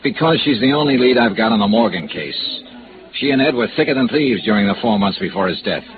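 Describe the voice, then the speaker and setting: An American actor playing a hard-boiled, impatient investigator in a radio noir drama, with a clipped, dry, sardonic delivery. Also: male voice, on the low side, expressive, brisk, crowd noise, lightly acted. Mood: impatient, curt. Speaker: lead actor and narrator. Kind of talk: 1950s American radio noir acting and narration. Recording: tinny vintage radio recording.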